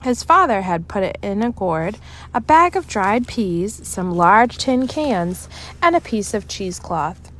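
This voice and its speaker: Woman reading a kids chapter book aloud, really lively up and down voice. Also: medium pitch, a little slow, slight background noise. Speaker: storybook reader. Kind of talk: expressive children's read-aloud narration.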